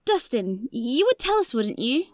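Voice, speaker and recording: It's a woman playing a caring, inquisitive young girl in a children's audio drama. The voice is very high and animated, with a take-charge manner. It is recorded dry, with no room sound. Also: unhurried, no room sound. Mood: concerned, reassuring.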